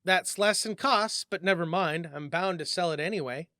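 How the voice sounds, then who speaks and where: A man's voice: high-pitched, normal speed, pushed and bright, no echo, clean studio sound. A man voicing an eager, generous young newsboy in a storybook, with folksy, slangy street talk. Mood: plucky. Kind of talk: storybook reading.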